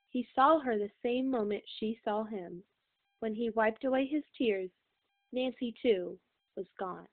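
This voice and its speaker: A woman reading a storybook aloud as a scripted narration, on a tinny recording. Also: on the high side. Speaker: read-aloud reader.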